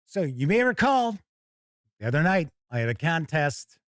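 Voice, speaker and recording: A man's voice: a little high, big pitch swings, normal speed, fairly bright tone, no echo, studio quality. An American man hosting a livestream in casual, rambling chat, acting out remarks as he goes. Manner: rambling, acts out quoted remarks. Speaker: livestream host and game reviewer.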